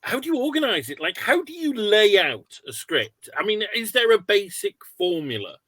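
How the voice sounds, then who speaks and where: A man's voice: high-pitched, sing-song, moderate pace, sharp tone, no echo. A man hosting a casual online interview, asking wordy, hesitant, self-deprecating questions. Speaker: webinar host and interviewer.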